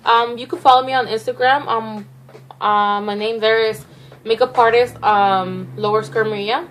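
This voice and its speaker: A woman's voice: on the high side, fairly even intonation, normal speed, fairly bright tone, slight background noise. A young woman from Mexico with a Mexican accent, chatting through a makeup tutorial in a rambling, self-conscious way with many restarts. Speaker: makeup vlogger.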